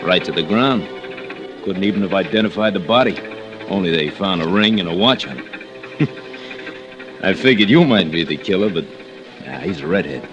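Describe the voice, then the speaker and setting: A man's voice: low-pitched, animated, moderate pace, pauses often, dull audio, music in the background. An actor playing a folksy, chatty working man in a vintage radio drama, drawling out small talk. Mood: folksy, gossipy. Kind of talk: 1940s radio drama acting.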